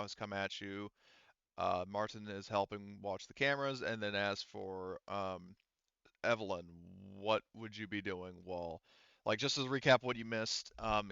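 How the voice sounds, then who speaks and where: A low-voiced man acts as game master, explaining scenes and rules casually. He pauses to think aloud. His voice is bright, and the dry recording is a little dull and lo-fi. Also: smooth, no echo. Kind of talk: narrating an online tabletop roleplaying game.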